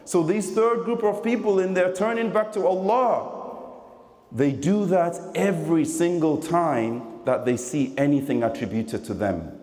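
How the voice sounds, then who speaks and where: A man delivering a Friday sermon in a deliberate, emphatic way into a stand microphone in a large mosque. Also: a little high, a little mellow. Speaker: preacher giving a Friday sermon. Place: large mosque prayer hall, indoors. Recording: echoey hall with long reverb.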